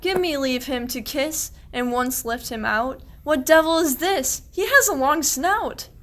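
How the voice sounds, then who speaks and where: A woman's voice: high voice, expressive, unhurried, fairly bright tone. A woman playing a suspicious, persistent shepherd, delivering pointed, wry archaic verse in a radio play, with light background noise. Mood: curious. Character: suspicious, persistent shepherd hunting a stolen sheep.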